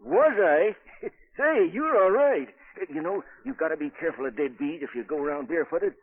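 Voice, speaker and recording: An actor playing a friendly, folksy old American drunkard, rambling and forgetful, in 1940s radio drama. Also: male voice, fairly high pitch, animated, moderate pace. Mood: rambling, amused. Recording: muffled vintage 1940s radio recording.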